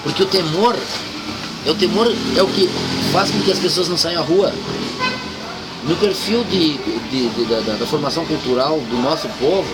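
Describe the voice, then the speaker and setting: A man's voice: a little high, moderate pace. A man in a casual, reflective and opinionated political discussion. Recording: very loud music and vehicle noise.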